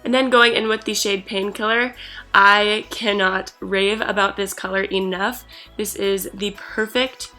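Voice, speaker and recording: A woman with a stuffy nose from a cold, talking through a makeup tutorial in an excited, chatty way. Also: medium pitch, unhurried, echoey room, faint music behind, rough recording. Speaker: beauty YouTuber.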